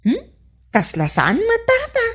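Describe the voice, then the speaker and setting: Radio comedy ingenue: young Ilocano villager, naive, exclaiming, very high and animated. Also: female voice, unhurried, exaggerated voice. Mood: puzzled. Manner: exclaiming, incredulous.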